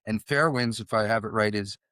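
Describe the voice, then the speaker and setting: A man's voice: fairly low pitch, brisk, pushed and bright, no room sound, clean studio sound. A middle-aged man hosting a podcast in a conversational interview style. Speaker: podcast host.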